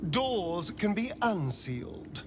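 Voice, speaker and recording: A man's voice: high voice, sing-song, takes it slowly, background noise, full character voice. A man playing a haughty, bitter vampire in a video game, theatrical and biting in his disdain. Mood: haughty, defiant. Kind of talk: video game character acting. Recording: music behind the voice. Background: music.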